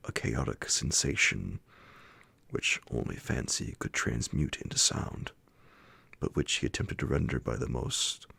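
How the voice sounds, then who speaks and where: Very deep, gravelly male ASMR narrator. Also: dry recording. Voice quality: gravelly, breathy, partly whispered. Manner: hushed, slow, deliberate phrasing. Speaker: narrator reading classic horror fiction aloud. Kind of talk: ASMR audiobook bedtime story narration. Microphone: close, dry microphone.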